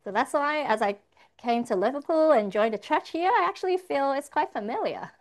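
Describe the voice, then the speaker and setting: A woman's voice: high voice, lively intonation, a little fast. Woman interpreting a church talk. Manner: renders his words line by line in the first person. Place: church service.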